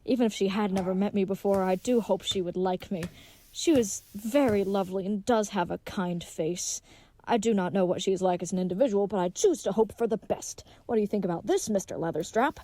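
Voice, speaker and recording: A woman's voice: medium pitch, a little fast, dark tone, no room sound, natural voice. Woman playing a gallant, pompous, earnest leathersmith knight. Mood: troubled, earnest, then determined. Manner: pompous, flowery old-fashioned speech. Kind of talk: comedic audio drama acting.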